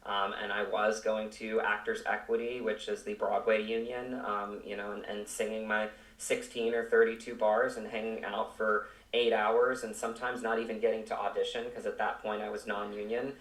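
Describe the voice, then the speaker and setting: Low-voiced man in an echoey room. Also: a bit bright. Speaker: podcast guest, actor. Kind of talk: reflective interview talk. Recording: roomy echo, distant from the mic.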